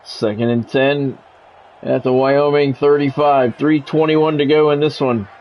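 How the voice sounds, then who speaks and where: A man's voice: mid-range voice, fairly even intonation, measured pace, muffled recording. Male play-by-play football game commentator. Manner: clipped announcer phrasing with informal asides. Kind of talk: sports radio play-by-play commentary over a video game.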